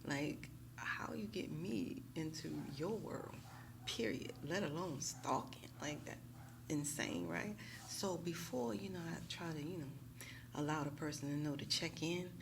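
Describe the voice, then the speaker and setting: An American woman talking casually and emphatically to her viewers in a slangy, animated storytime style, often checking in with them. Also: sits low, measured pace, vocal fry, background noise. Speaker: YouTube tarot reader.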